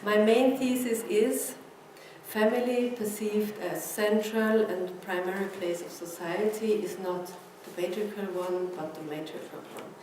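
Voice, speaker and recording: Female academic speaker, Austrian German accent, mid pitch, halting lecture delivery, heard from afar in a very echoey room. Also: steady tone, darkish tone. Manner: halting, searching for words. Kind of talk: conference lecture in non-native English. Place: presentation room with an audience. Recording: echoey room, distant mic, noisy background.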